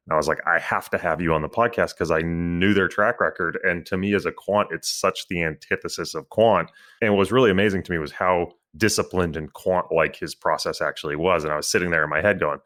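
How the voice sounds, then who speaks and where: A man's voice: sits low, a bit bright. A man hosting a finance podcast, chatting casually and self-deprecatingly with his guest. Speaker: podcast host. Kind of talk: casual interview chat.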